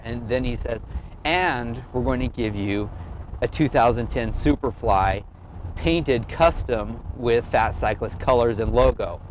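A man talking casually in an earnest, halting way, thinking aloud as he tells a personal story in an interview. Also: medium pitch, slight background noise, poor audio. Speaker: amateur cyclist and blogger being interviewed.

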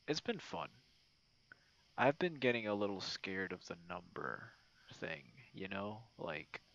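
A man chatting casually in a late-night ASMR style, rambling and halting in an informal way. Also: lowish voice, dry recording. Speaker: ASMR roleplay creator. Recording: dull, muffled lo-fi audio.

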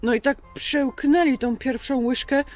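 A woman in her thirties hosting a children's Bible show, telling stories in simple, conversational words with pauses. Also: sits high, fairly even intonation, soft background music. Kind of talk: storytelling to a puppet for children. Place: outdoors in a garden.

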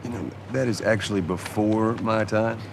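An actor playing a gruff, guarded middle-aged survivor with a Southern US accent and a terse drawl, in a cinematic video game. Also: male voice, lowish voice, fairly even intonation, normal speed, background noise. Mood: dry, weary. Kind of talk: naturalistic cinematic game acting.

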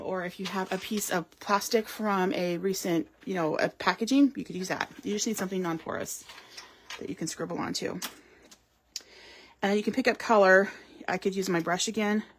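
A woman's voice: on the low side, fairly even intonation, normal speed, a little hiss or hum. A woman giving a live card-making demonstration, chatting and explaining as she works, with frequent ums. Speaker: craft store demonstrator. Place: craft table at home or in a studio.

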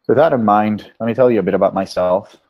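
A man, a Spanish speaker, giving an informal slide presentation as a coach in a halting, measured way over a video call. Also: lowish voice. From Venezuela. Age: 30s. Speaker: product management coach giving a webinar. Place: small room indoors, on a video call. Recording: dull, lo-fi webinar audio.

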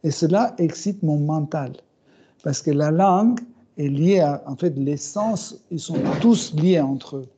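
A middle-aged man giving a scripture class in a small room, speaking slowly and hesitantly with many fillers and soft chuckles. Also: on the high side, background noise. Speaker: spiritual teacher. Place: small room with bookshelves, indoors. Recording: dull, muffled recording.

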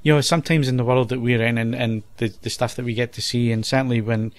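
A man's voice: mid-range voice, fast talker. A man hosting a podcast, chatting casually and rambling a little as he wraps up the episode. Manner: relaxed, chatty, rambling wrap-up. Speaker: podcast host. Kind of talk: casual chat.

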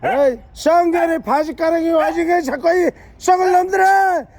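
A man at a street protest, yelling insults with drawn-out angry cries outdoors. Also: extremely high pitch. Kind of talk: shouted protest rant.

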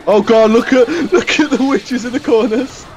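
A man's voice: very high voice, normal speed. Young man chatting over a game. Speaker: gaming video player. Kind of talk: casual gameplay chat.

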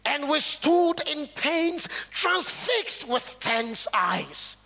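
A man's voice: very high voice, big pitch swings, creaky, bright, forceful tone, heavily acted. A man with a Nigerian accent playing an earnest, sorrowful palace guard, telling his tale dramatically in a stage play. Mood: sorrowful, solemn. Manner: dramatic, deliberate storytelling. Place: theatre stage.

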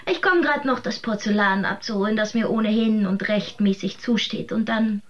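An actress playing a scheming, grasping woman in a radio play, wheedling with drawn-out emphasis. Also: medium pitch, lo-fi sound, natural voice. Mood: coy bargaining. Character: scheming, grasping woman who coos and bargains with food. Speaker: actor in an audio drama. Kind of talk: theatrical German radio play acting.

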